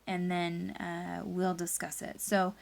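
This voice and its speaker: A woman's voice: lowish voice, monotone, slow pace, vocal fry. A woman teacher leading a read-aloud lesson for pupils, reading expressively and guiding them through a story. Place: indoors at a laptop.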